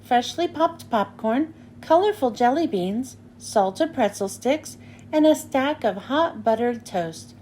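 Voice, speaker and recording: A woman reads a children's story aloud. Her voice is high and very expressive, and there is some noise in the recording. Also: slow pace. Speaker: storybook reader. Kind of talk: children's read-aloud narration.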